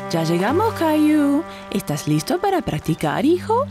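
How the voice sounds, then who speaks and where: Caring cartoon mom, high bouncy voice, very encouraging, music under it. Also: female voice, wildly swooping pitch, unhurried, exaggerated voice. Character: encouraging, caring mother of a small boy. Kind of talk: animated children's cartoon dub.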